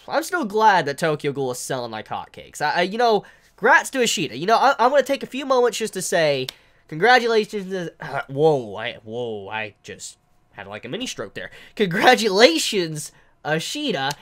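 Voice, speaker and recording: A man's voice: high voice, big pitch swings, normal speed, a bit bright. A young man in his twenties, congested with the flu, reviewing a manga chapter to camera from his living room, rambling and stammering as he sounds out made-up words. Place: living room with couch, indoors.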